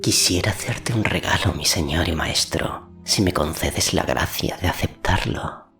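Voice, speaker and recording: A man voicing a scheming, flattering sorceress in an audiobook, insinuating and mock-deferential, with a breathy, creaky voice. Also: low voice, measured pace, faint music behind. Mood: honeyed. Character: scheming, flattering sorceress, servile yet seductive.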